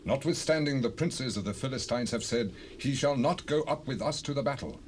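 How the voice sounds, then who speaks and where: A man's voice: medium pitch, a little fast, close to an everyday voice. A man playing a kindly, regretful ancient king in a Bible drama, declaiming in an earnest, stately way. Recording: faintly noisy 1970s cassette rip.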